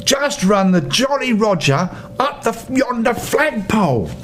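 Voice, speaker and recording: Older guy doing a hearty pirate captain for a kids story, high and bellowy, noisy recording. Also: sing-song, a bit bright, exaggerated voice. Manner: bellowing, drawn-out pirate talk. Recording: noisy home recording with rumble.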